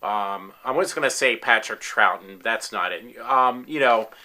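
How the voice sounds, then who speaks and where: A man's voice: on the low side, a little slow, sharp tone. Rambling enthusiastic man in his forties. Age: 40s. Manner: rambling, many fillers and restarts; enthusiastic fan. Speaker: applicant in a tryout video. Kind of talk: casual self-recorded talk. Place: his room at home.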